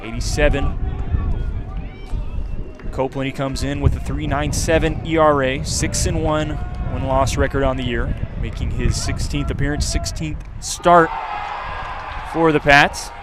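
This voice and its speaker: Male sports announcer, mid-range voice, live baseball radio commentary with clear outdoor stadium noise behind him. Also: medium pitch, steady tone, unhurried. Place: outdoors at a ballpark. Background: outdoor ballpark ambience.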